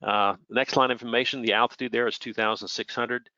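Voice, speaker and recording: A man's voice: medium pitch, fairly even intonation, quick pace, pushed and bright, no room sound, muffled recording. A plainspoken, matter-of-fact man giving an informal technical explanation as an expert air traffic controller.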